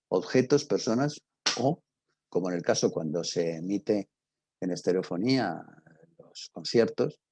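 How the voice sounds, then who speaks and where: A man with a Castilian Spanish accent and a husky voice, giving an informal lecture as a teacher, explaining haltingly with digressions and check-in questions. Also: on the low side, darkish tone, no room sound. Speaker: teacher of radio drama.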